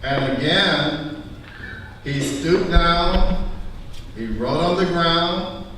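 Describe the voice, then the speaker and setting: A man narrating a scripture story live in a church, delivering it deliberately, phrase by phrase. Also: on the high side, pushed and bright, slight background noise. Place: large church, recorded live. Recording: echoey church hall, far mic, faint music.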